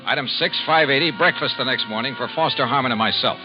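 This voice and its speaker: A man's voice: medium pitch, quick pace, close to an everyday voice. An American man playing a shrewd, cool insurance investigator in a 1950s radio detective drama, with a wry, clipped, colloquial delivery. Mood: wry narrating. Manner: wry, clipped, colloquial, narrates as well as converses. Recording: muffled vintage radio recording, faint music.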